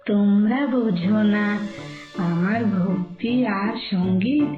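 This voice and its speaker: A woman voicing a proud, boastful wandering holy sage in a cartoon, with drawn-out chanting delivery. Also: medium pitch, close to an everyday voice. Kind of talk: cartoon voice acting. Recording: echoey, muffled, music underneath.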